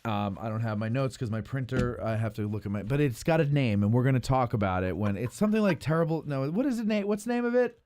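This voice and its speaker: A man hosting a casual talk show, speaking in a halting way and often repeating himself. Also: medium pitch, darkish tone. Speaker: podcast host. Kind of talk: casual chat.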